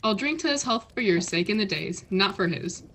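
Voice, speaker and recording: A teenage woman acting an indignant, sharp-tongued poor wife in a radio play, biting and sarcastic. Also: medium pitch, animated, normal speed. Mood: grudging, sarcastic.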